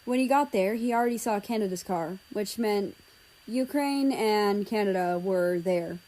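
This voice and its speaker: Female story reader, mid-pitched, scripted fiction narration. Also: a little slow, mellow, low-quality recording.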